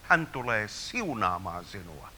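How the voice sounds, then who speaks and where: Guy praying over people at a healing service, intense and prophetic, goes from murmuring to shouting, sharp bright voice w/ some hiss. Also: lowish voice, very animated. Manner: fervent, prophetic, swings between hushed murmurs and loud declarations. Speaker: preacher. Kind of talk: charismatic healing prayer.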